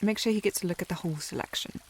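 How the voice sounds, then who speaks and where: A woman playing a proud, easily flustered young aristocratic lady in a historical ASMR romance, breathy and refined, often dropping to a whisper. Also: lowish voice, racing, a little hiss or hum, lightly acted. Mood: haughty, composed. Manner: haughty, refined, hesitant when flustered.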